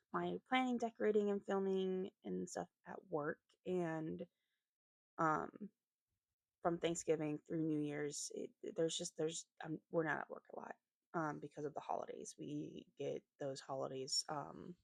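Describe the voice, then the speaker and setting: A woman with a slightly raspy voice giving a casual craft voiceover, rambling hesitantly and often correcting herself as she goes. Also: low voice, steady tone, unhurried, darkish tone, no echo. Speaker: planner vlogger and host.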